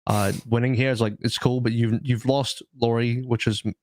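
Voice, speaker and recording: A man on a podcast panel explaining things in a casual, measured, analytical way. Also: medium pitch, flat delivery, darkish tone, dry recording.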